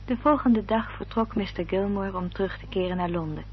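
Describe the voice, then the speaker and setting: An actress playing a sensible, protective elder sister in a radio drama, composed and articulate. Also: female voice, mid-range voice, fairly quick, lightly acted. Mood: composed narration. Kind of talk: radio drama acting and narration. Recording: dull old radio recording, faint hum.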